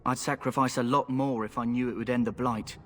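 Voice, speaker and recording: A cocky rogue, voiced by a man, needling his companions in a mid-pitched voice. A long reverb trails his lines in the game audio. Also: fairly even intonation, brisk, fairly bright tone, close to an everyday voice. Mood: sardonic. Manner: mocking, sardonic. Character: cocky male rogue recruit.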